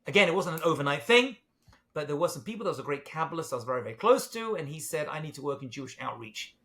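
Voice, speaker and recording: A man's voice: fairly high pitch, expressive, fast, sharp tone. A middle-aged man from London with a British accent, talking as a guest in an interview, self-deprecating and joking, with many fillers. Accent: British English, London. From England. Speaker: rabbi, guest. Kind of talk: casual interview talk.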